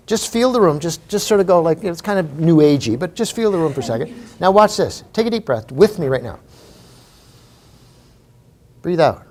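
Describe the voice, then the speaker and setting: A middle-aged Canadian man giving a comic motivational talk to a live audience, telling stories in an animated way and repeating phrases for emphasis. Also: fairly high pitch, normal speed, pauses often. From Maritime Canada. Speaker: humorous keynote speaker.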